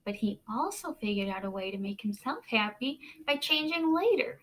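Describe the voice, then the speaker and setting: A woman teacher reading aloud to children expressively, with deliberate dramatic pauses and questions for her young listeners. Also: on the high side, lively intonation, fairly bright tone, low-quality recording.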